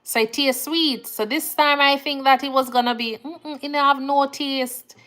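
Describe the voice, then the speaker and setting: A woman's voice: sits high, normal speed. Chatty jamaican woman, laid-back. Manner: relaxed, chatty, laughs easily, Jamaican phrasing. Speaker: nurse livestream host. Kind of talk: casual livestream chat reading comments.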